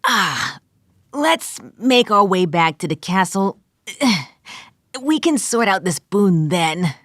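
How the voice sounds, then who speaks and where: A woman's voice: medium pitch, very animated, slow, a bit bright, no room sound, studio quality. A woman playing a bossy, wry, battle-worn archer in a dramatized tabletop fantasy adventure. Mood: weary.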